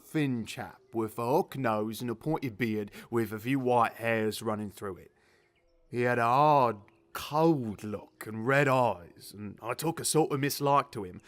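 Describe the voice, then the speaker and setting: A man's voice: mid-range voice, close to an everyday voice. Man acting a grumpy old zookeeper. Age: older. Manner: colloquial, rambling, blunt storytelling. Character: grumpy but genial elderly working-class English zookeeper. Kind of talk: audio drama character acting.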